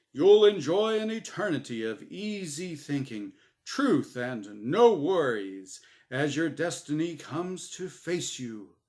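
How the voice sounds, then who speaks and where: A man voicing a heavenly game show's prize announcer in a story reading, with salesy, drawn-out promotional patter. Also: on the high side. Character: smooth-selling male game show prize announcer in heaven.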